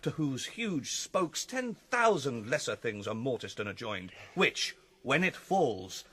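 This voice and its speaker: An actor playing a deferential, earnest courtier in a Shakespearean radio drama, with a husky voice and formal declamation. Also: male voice, medium pitch, very animated, measured pace, sharp tone, full character voice. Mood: earnest, solemn. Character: deferential, earnest male courtier flattering his king.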